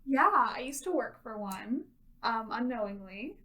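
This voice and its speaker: A woman's voice: on the high side, lively intonation, measured pace, bright, forceful tone, recorded from a distance. A woman telling a casual personal anecdote as an interview guest, recorded a little away from the mic. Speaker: interview guest, staff member.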